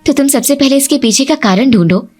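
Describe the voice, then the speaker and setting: A woman's voice: high voice, animated, brisk, darkish tone, soft background music, pitched above the performer's own voice. A woman voicing a clever, polite but insistent young daughter-in-law in a cartoon family story. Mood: worried.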